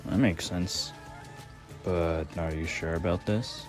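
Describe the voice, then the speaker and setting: This is a wry, careful ally from an anime world, thinking aloud in a deep, low register. He talks in a measured, reasoning way and never rushes to a conclusion. A man acts him out in a fan dub, with soft music playing beneath. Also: steady tone. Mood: cautious. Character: laid-back, cautious adult man, a sword-world ally.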